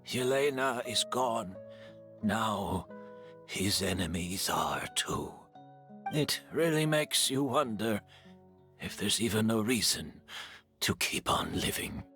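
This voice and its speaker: A resigned older-style mentor facing his end in a video game. His voice is very bright and clear-edged, and he speaks slowly and deliberately, with weary pauses. Music plays faintly behind him. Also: on the low side, animated, heavily acted. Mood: bleak, reflective. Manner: slow, halting, deliberate delivery.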